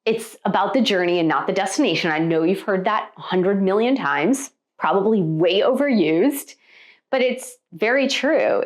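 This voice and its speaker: An American woman speaking as a spiritual teacher in an emphatic, conversational way, addressing her viewers directly with motivational guidance. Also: medium pitch. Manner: emphatic, conversational, with rhetorical check-in questions. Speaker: spiritual teacher and meditation coach.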